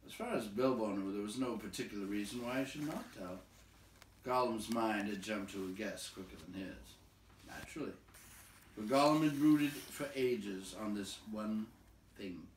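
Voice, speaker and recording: A man reading a storybook aloud as expressive scripted narration. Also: fairly low pitch, normal speed, a little hiss or hum. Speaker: storybook reader. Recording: echoey room, distant from mic.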